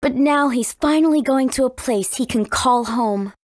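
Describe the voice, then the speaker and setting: A woman's voice: high voice, moderate pace, no room sound, studio quality. A woman voicing a spirited, cautious fifteen-year-old warrior princess in a video game, earnest and youthful in her delivery. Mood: wistful. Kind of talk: English video game voice acting.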